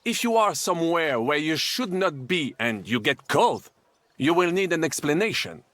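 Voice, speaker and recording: A suave foreign gentleman tutor in a video game. His voice is fairly high and very animated, with a courteous, instructive manner and a dry, close sound. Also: moderate pace, no room sound. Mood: patient.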